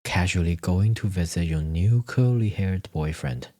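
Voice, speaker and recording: A man narrating a scripted second-person story in measured, deliberate phrases. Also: low voice, warm tone.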